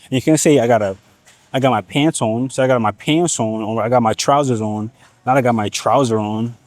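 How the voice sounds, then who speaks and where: A man's voice: medium pitch, a little fast, darkish tone, no echo. A man from Nigeria whose first language is Igbo, rambling casually in a gym vlog with slang and swearing. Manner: slangy, profane, rambling asides. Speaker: fitness vlogger.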